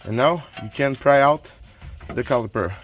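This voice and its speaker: A man whose native tongue is French talks through a brake job in plain, instructive steps, often restarting a phrase. His voice sits at an ordinary middle pitch. A faint hiss of background noise runs under the recording. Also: moderate pace, highs cut off. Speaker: DIY car repair channel host. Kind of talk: casual how-to narration while working.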